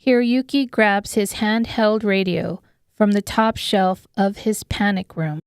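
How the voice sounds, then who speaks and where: A woman's voice: medium pitch, steady tone, unhurried, clear, resonant tone, no room sound, studio quality. A woman reading scripted narration of an apocalyptic horror audiobook. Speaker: author narrating her audiobook.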